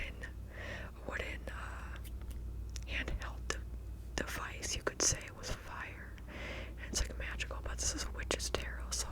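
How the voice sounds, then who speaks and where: Whispering woman, soft ASMR witch roleplay. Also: low-quality recording. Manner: soft, unhurried, repeats words, affectionate address. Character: kindly witch shopkeeper and tarot reader.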